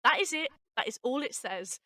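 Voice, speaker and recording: A woman's voice: high voice, animated, moderate pace, sharp tone, no room sound, studio quality. A woman hosting a casual behind-the-scenes chat, speaking emphatically and repeating herself for emphasis. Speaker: host, writer and actress.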